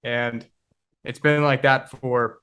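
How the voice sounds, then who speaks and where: Male guest, 20s, reflective and halting, plain mid-pitched voice. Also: fairly even intonation, fairly bright tone, smooth. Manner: reflective, halting, searching for words. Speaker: podcast guest. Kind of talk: casual conversation.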